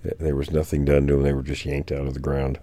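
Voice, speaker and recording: A man's voice: a bass voice, monotone, fast talker, dark tone, no room sound. A man casually recounting outdoor finds in a rambling, self-correcting way. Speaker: guest recounting field experiences. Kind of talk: casual conversation.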